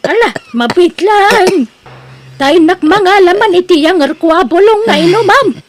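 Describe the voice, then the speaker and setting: Woman doing a high-pitched old Ilocano grandma. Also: expressive, unhurried, slight background noise. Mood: stubborn, chatty. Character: stubborn old Ilocano grandmother, chatting with a friend. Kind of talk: Ilocano radio drama.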